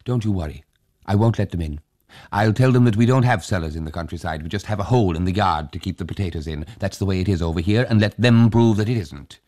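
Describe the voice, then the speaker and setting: A man voicing a fussy, anxious old village grandfather who is pleading and given to loud, excitable bursts. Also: sits low, fast. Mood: reassuring. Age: older.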